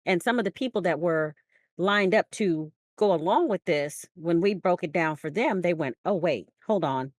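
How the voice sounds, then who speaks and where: Low-voiced American woman, emphatic podcast talker. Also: moderate pace, no echo, studio quality. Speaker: podcast co-host. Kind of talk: conversational discussion.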